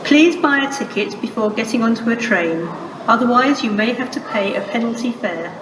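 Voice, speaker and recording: A woman's voice: fairly high pitch, animated, normal speed. A British woman making formal, automated railway announcements in pieced-together scripted phrases over a station public address. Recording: echoey noisy station speakers from far off.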